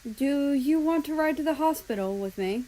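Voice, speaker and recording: A woman reads as a helpful, assertive man in a storybook scene. The voice is raised in pitch, firm and matter-of-fact. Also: animated, moderate pace, dark tone, poor audio. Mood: offering.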